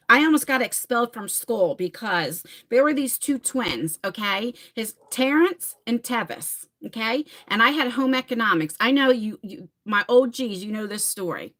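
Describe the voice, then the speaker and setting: A woman's voice: a little high, expressive, unhurried, fairly bright tone. A woman in her forties from New Jersey, chatting casually on a live stream, reading viewer comments and talking to them by name. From New Jersey, USA. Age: 40s. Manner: chatty, laughs often, talks straight to viewers by name. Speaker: livestream host.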